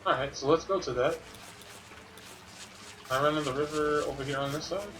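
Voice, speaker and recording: A man's voice: on the high side, fairly quick, background noise. A man streaming a video game, giving informal commentary and thinking aloud as he plays. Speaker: gaming streamer. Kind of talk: casual Let's Play commentary, sometimes reading game text.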